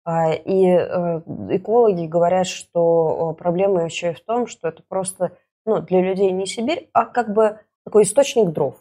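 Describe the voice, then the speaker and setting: A woman with a somewhat low, husky voice co-hosts a talk show. She talks through the news casually, with a wry, ironic edge. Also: normal speed, mellow. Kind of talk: news commentary with casual banter. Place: studio.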